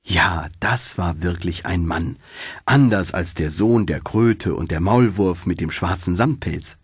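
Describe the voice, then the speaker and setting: A man narrating a fairy tale in an expressive storytelling manner, as for an audiobook. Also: sits low, unhurried, husky, no room sound, studio quality.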